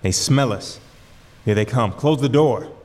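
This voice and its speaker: An actor playing a gruff, commanding chief lighthouse keeper in a radio drama, curt and bossy. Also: male voice, mid-range voice, lively intonation, measured pace, darkish tone, long reverb tail, lightly acted. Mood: urgent.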